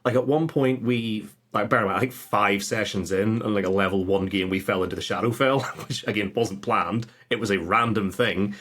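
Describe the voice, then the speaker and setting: Male podcast guest, informal storyteller; rough-edged, lowish voice, playful mock dialogue. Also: moderate pace, few pauses, a bit bright. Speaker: podcast guest, game master. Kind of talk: casual chat, animated anecdotes with mimicked quotes.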